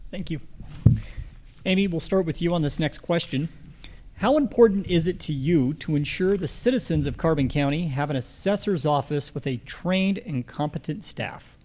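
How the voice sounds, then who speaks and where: A man's voice: a little high, normal speed, darkish tone. A man moderating a candidate forum, reading questions formally and courteously. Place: live public forum on a college campus.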